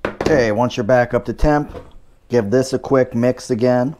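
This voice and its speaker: A man's voice: mid-range voice, unhurried, noisy background. A man hosting a home cooking show, talking through each step in a casual way with dry, wry asides.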